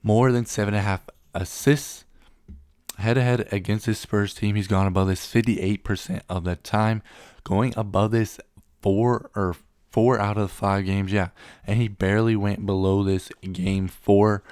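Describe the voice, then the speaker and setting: A man's voice: fairly low pitch, steady tone, a little slow, a little mellow, smooth, no room sound, clean studio sound. A young man getting over a cold, casually running through sports betting picks and reading stats off the screen with a few ums.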